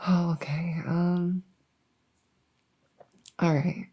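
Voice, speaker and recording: Woman playing a low, soft-spoken, nervous monster girl. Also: little pitch movement, extremely slow, husky, exaggerated voice. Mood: anxious, pleading. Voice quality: soft, often slipping into whisper. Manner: rambling, nervous, stammering and repeating herself. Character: anxious, overworked, friendly female monster under the bed. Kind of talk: whispery comedic ASMR audio roleplay.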